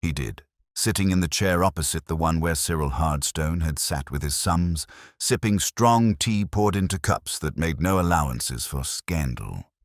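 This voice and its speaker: A man narrating a detective story in a measured, literary storytelling manner. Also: extremely low pitch, animated, dry recording, studio quality.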